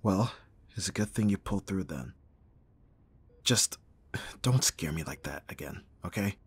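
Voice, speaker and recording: A man playing a gruff but tender young bodyguard in an intimate ASMR roleplay, speaking softly with sighs and a husky voice. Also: low voice, animated, measured pace, full character voice. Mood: pained, tender. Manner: soft, intimate, sighing, teasing.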